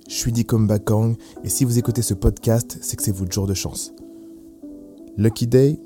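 A man hosting a podcast, presenting and interviewing guests. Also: mid-range voice. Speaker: podcast host. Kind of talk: scripted podcast intro and interviewing.

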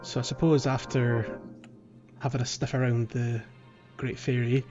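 A man's voice: medium pitch, little pitch movement, a little slow, lo-fi sound, over music. A man hosting a casual gaming podcast, talking in a hesitant, self-deprecating way. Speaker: podcast host.

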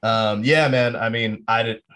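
A man's voice: medium pitch, fairly even intonation, unhurried, pushed and bright. A man from North Carolina, a drummer guest, chatting in a friendly way and calling people dude and man. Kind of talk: casual interview chat.

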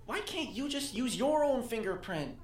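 A timid, worried boy of twelve, played by a woman in an audio drama. The voice is high and lively in pitch. It sounds a little off-mic in a roomy space. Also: moderate pace, distant mic, natural voice. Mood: reluctant. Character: timid anxious twelve-year-old boy.

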